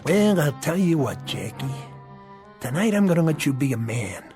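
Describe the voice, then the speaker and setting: An actor playing a menacing, sardonic gangster boss, mocking and coolly controlling in a radio drama. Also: male voice, a little high, big pitch swings, normal speed, darkish tone. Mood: menacing, smug. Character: menacing, sardonic gangster boss who needles his underling. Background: music.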